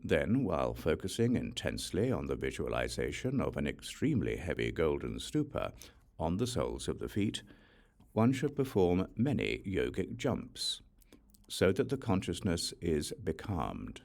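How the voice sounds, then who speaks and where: A man reads sacred instructional text in a low, creaky voice. His delivery is formal and measured, yet the intonation moves in lively rises and falls. It is scripted audiobook narration. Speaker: audiobook reader.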